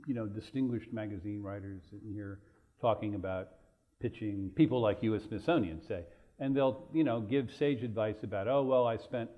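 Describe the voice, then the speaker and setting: Halting low-voiced man moderator, muffled. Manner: hesitant, halting questions. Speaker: workshop moderator. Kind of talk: moderating a panel.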